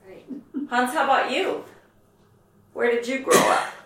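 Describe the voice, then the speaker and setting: A woman interviewer asking gentle, hesitant questions in an oral history interview at an interviewee's home. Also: high voice, measured pace, creaky. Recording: roomy, from far off.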